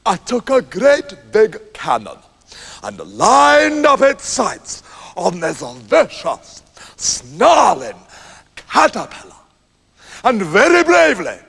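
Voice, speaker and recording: A man voicing a pompous big-game hunter from a storybook, bragging grandly in drawn-out phrases. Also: extremely high pitch, wildly swooping pitch, creaky, sharp tone, breathy edge, big-room sound, heavily acted. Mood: boastful.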